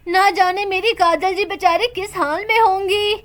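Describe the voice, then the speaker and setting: Woman playing a heartbroken young husband, very high, pleading. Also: fairly even intonation, normal speed, fairly bright tone. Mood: grieving. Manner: lamenting, pleading.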